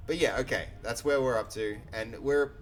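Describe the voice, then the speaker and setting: A man's voice: medium pitch, normal speed. A man live-coding on a stream, chatting casually and rambling with lots of fillers, restarts and easy chuckles. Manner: easygoing, chuckles often.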